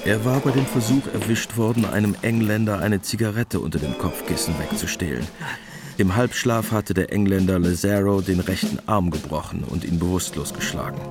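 A man's voice: sits low, moderate pace, music in the background. A man narrating a radio play in a matter-of-fact, scripted style.

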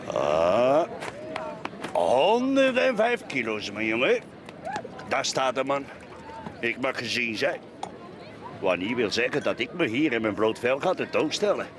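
A man's voice: mid-range voice, very animated, slow pace, long pauses, noisy background. An actor playing a grumpy, prudish middle-aged man in a Flemish radio play, blustering and muttering with a Flemish accent. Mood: boastful. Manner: blustering, grumbling, exclaims and mutters. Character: grumpy, prudish, boastful heavyset middle-aged bourgeois beach-café customer.

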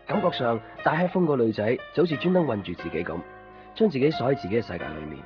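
A man's voice: medium pitch, animated, quick pace, lightly acted. A man playing a reflective, lovelorn young man in a Cantonese radio drama, with a musing, conversational delivery. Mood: wistful, reflective. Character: reflective, lovelorn young man narrating his own story. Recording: dull lo-fi old radio recording. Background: music.

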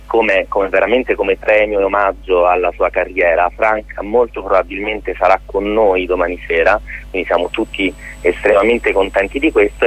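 A man speaking as a guest in an informal radio interview, explaining as the organiser of a theatre festival, with a husky voice. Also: fairly low pitch, rough recording.

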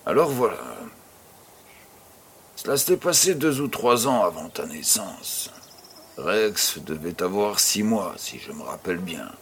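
Man playing a low-voiced kindly old farmer grandfather. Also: sing-song, a bit bright, breathy edge, heavily acted. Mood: storytelling. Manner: affectionate, teasing, unhurried storyteller. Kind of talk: French-language audio drama acting.

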